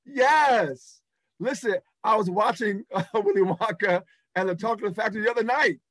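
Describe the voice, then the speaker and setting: A man's voice: high-pitched, normal speed, no room sound. A middle-aged man hosting an interview over a video call, effusive and laughing, repeating his praise. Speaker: talk show host. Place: indoors in front of a backdrop.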